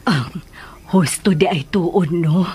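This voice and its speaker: A woman acting a middle-aged, motherly confidante who gives calm advice, in a Filipino radio drama with a Filipino accent. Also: on the low side, slow, husky, heavily acted. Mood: advising. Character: motherly older confidante, called Ma'am, advising calmly.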